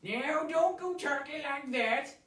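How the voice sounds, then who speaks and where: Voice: high voice, slow, a bit bright, voice pushed up. A lazy, blunt backwoods man as a storyteller acts him out, talking in a folksy drawl lifted well above the narration. The storybook character sounds rustic and plainspoken, heard in a roomy space at a distance from the mic. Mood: alarmed, urging. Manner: folksy drawl, rustic dropped-word speech.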